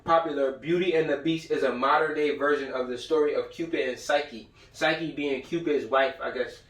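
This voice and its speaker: A man's voice: mid-range voice, fairly even intonation, moderate pace, a bit bright. Echoey, distant man lecturing bluntly in slang. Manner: blunt, profane, slangy, repeats himself for emphasis. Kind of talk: informal lecture with read-aloud passages and commentary. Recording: roomy echo, far from the mic.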